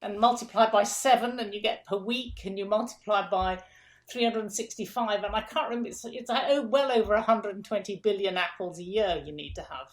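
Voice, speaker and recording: A woman's voice: mid-range voice, brisk, poor audio. A middle-aged woman from England, a guest in an interview, explaining food systems step by step with emphatic repeats.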